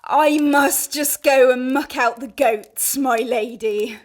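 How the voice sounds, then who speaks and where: An actress playing a scheming, deferential maidservant who speaks in flowery, coy period language in a comic radio play. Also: female voice, sits high. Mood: meekly deferential. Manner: deliberate, ornate, coyly deferential. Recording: studio radio play with music.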